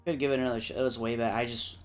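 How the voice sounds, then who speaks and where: A man with a medium-pitched voice talks casually as one of a panel. His manner is blunt and opinionated, and light music plays in the background. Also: level tone, racing. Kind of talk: casual chat about movies and games.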